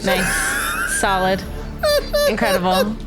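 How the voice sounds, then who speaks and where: Very animated woman at a game table. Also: medium pitch, slow, over loud music, poor audio. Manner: exclamatory, playful. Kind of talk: casual game table chat.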